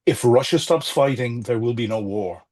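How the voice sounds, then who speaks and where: A middle-aged man, a former diplomat, talking as a guest over a video call, measured and hesitant with many fillers and restarts. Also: medium pitch, darkish tone. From Ireland or Britain. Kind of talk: remote interview, political commentary.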